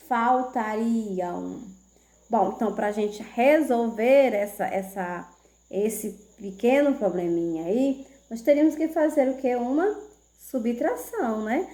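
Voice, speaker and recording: Slow, patient woman teacher. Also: fairly high pitch, darkish tone. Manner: slow, patient, checks in with "tá certo?". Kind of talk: video math lesson for children.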